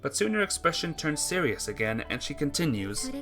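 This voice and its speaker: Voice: medium pitch, sing-song, fairly quick, over music. A person reading a visual novel's narration aloud for a playthrough, occasionally stumbling over the script.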